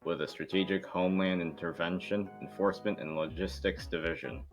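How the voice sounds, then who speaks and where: A man reading dialogue at a casual amateur table read, halting and stumbling over some lines. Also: low voice, fairly even intonation, faint music behind, low-quality recording.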